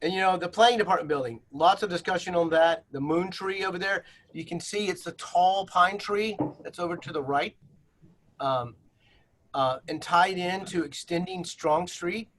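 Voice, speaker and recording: A man's voice: high-pitched, normal speed, a bit bright, slight background noise. A man leading a virtual planning workshop on a video call, presenting slides with many fillers and restarts.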